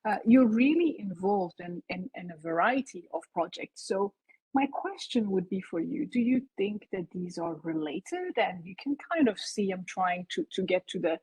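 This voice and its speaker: A woman professor moderating an interview, asking questions haltingly with many restarts. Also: medium pitch, animated, a little mellow, husky, no room sound, poor audio.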